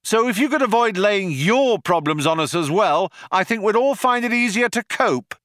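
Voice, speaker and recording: Audiobook hero under fire male reader in the role: raised, forceful voice, exasperated, sardonic, yelling his retorts. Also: sits high, lively intonation, normal speed, no room sound, clean studio sound, pitched above the performer's own voice. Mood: exasperated, shouting. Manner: shouting, sardonic. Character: exasperated man under fire, shouting back sarcastically.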